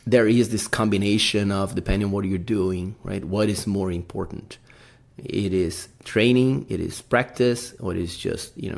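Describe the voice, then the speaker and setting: A man's voice: fairly low pitch, vocal fry. A man from Brazil with a Brazilian accent, hosting a casual solo podcast and rambling, often tagging his sentences with "right?". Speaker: podcast host.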